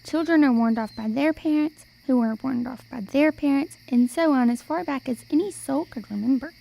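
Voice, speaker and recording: A woman's voice: high voice, moderate pace, vocal fry, mellow, low-quality recording. A young woman playing a sly, folksy old travelling storyteller in an amateur radio play. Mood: ominous. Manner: folksy, old-fashioned phrasing.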